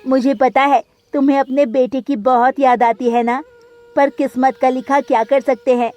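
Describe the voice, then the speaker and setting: A woman's voice: high-pitched, steady tone, moderate pace, mellow, lightly acted. A woman voicing a practical, reassuring female sparrow friend in a cartoon story. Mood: consoling.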